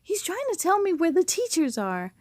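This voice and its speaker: Woman voicing an excitable, high-pitched schoolboy. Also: normal speed, dry recording, studio quality, full character voice. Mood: confused. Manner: exclamatory, whiny when upset. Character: excitable, dramatic fifth-grade schoolboy with big feelings. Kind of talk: children's storybook character voice.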